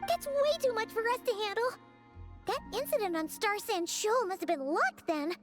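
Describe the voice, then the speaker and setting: Squeaky, very high-pitched excitable little fairy girl. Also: normal speed. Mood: excited, alarmed. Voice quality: squeaky, childlike. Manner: chatty, exclamatory, questioning. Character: tiny excitable fairy-like girl companion, curious and blunt. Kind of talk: English video game dialogue acting. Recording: music playing behind the voice. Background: background music.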